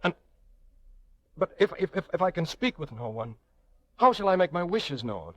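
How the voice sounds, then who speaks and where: An idealistic young lawyer in an old radio play, proud and stubborn. His fairly high voice moves animatedly as he insists on each point. It is recorded dry, with little room sound. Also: big pitch swings, normal speed, no room sound. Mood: determined. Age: young adult. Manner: earnest, insistent. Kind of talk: old-time radio drama acting.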